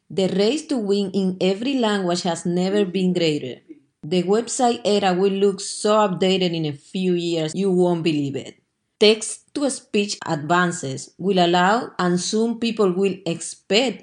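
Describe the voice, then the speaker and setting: A woman's voice: lowish voice, darkish tone. A woman hosting a short podcast briefing, speaking from a script as she presents. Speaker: podcast host.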